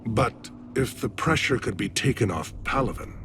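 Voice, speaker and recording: A proud male alien general with a mid-pitched voice and a formal, grave delivery. It is a sci-fi video game scene with music clearly playing behind him. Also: lively intonation, normal speed, a bit bright. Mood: grave insistence. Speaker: alien military leader.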